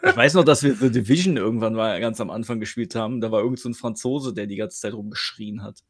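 A man's voice: on the low side, fast, husky, no room sound, studio quality. A man chatting casually about video games as a podcast co-host, colloquial and often seeking agreement with tag questions.

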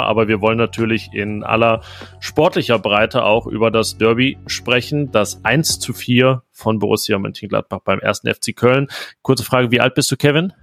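Low gravelly man, podcast host. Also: a bit bright. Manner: conversational, filler-heavy. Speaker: podcast host, sports reporter. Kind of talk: casual football podcast chat.

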